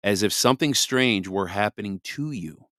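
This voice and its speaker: A man from Michigan hosts a scripture podcast while congested from a cold. His voice sits low and stays smooth, and the close mic is recorded dry. He rambles with many fillers, then slows down to read scripture deliberately. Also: pushed and bright, dry recording. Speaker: podcast host. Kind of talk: scripture reading with casual commentary.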